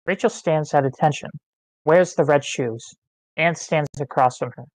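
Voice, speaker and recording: A reader giving plain, measured scripted narration of screenplay stage directions. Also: medium pitch, no echo, low-quality recording. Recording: online meeting, close and dry.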